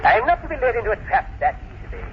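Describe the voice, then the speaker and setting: A mad old professor with a high voice, speaking with pompous menace and cold condescension. He sounds like a grandiose radio drama villain bent on revenge, on a muffled old recording with faint noise. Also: very fast. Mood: imperious. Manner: pompous, coldly calm, condescending. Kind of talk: 1940s radio drama acting.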